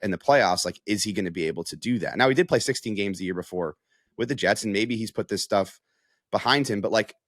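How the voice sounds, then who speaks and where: American guy breaking down sports casually, lowish voice, dry recording, says like and you know a lot. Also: fast, no room sound. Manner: conversational, frequent "like" and "you know". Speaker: sports reporter, podcast guest. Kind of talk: casual sports analysis chat.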